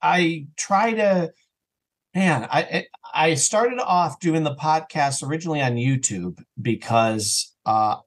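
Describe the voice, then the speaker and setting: A man chatting casually as an interview guest, talking in an earnest, animated way about his creative work. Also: a little high, slow. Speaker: interview guest, audio drama creator and podcaster.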